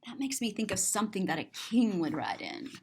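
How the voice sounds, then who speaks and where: A woman's voice: medium pitch, big pitch swings, fairly quick, gravelly, darkish tone, breathy edge. A woman reading a picture book aloud to children, playfully and expressively explaining what is in the pictures. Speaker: children's storybook reader, teacher. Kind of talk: animated read-aloud to children, describing pictures.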